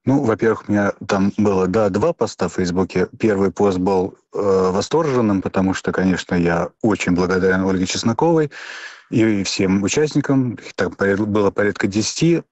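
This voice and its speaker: A man's voice: fairly low pitch, a little fast, darkish tone, dry recording. A man in his fifties answering an interview off the cuff, hesitating and restarting often. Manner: hesitant, many fillers and restarts. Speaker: interview guest.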